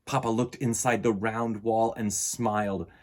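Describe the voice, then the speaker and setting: A middle-aged man with a husky voice, hosting a children's storytime in an expressive, theatrical way. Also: lowish voice, measured pace. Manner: theatrical, varied delivery addressed to young listeners. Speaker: children's storytime host and reader. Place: indoor room lined with bookshelves.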